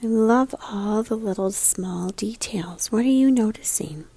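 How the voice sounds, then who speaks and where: Husky, mellow woman teaching young children. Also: mid-range voice, measured pace. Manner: guiding, prompts listeners with questions. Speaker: elementary art teacher. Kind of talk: read-aloud picture book lesson for young children.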